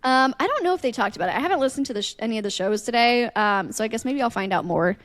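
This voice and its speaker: Woman, slightly high voice, chatty podcast storyteller, acts out quotes, dry close-mic sound. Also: expressive, very fast, a bit bright, dry recording. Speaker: radio host and podcast co-host.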